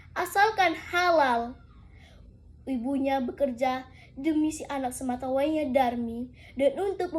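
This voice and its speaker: A young woman narrating a folktale as a contestant, in a deliberate, theatrical way. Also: sits high, darkish tone. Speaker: storytelling contestant.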